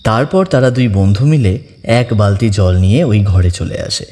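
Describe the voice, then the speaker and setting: A man's voice: fairly low pitch, normal speed, dark tone. A man narrating a ghost story in dramatic, scripted style, with reverb on his voice.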